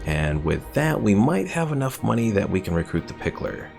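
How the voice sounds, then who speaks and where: A man streaming a strategy game, chatting casually, thinking out loud and reading chat messages. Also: fairly low pitch, sing-song, normal speed, vocal fry, music in the background. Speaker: gaming streamer. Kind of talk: casual gameplay commentary.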